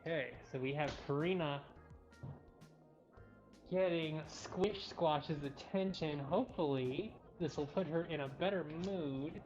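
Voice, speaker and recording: Casting: female stream co-host, distinctly low voice, casual and conversational, lo-fi sound with faint music underneath. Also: measured pace, darkish tone. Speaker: cat cafe livestream co-host. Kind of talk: casual live showcase chat. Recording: dull lo-fi livestream audio.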